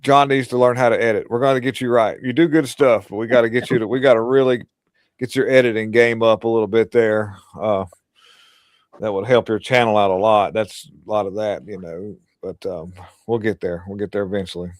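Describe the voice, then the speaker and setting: A man's voice: fairly low pitch, fairly even intonation, brisk, no room sound, studio quality. A man hosting a casual political livestream, talking bluntly and crudely with lots of emphasis. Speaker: livestream host.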